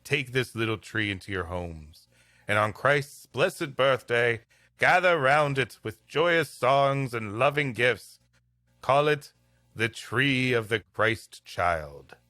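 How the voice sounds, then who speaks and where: Solemn missionary saint acted by a male reader, declamatory, earnest, bright voice, dry close sound, storybook preaching. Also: medium pitch, expressive, slow pace, dry recording. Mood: solemn, exhorting. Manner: declamatory, biblical phrasing.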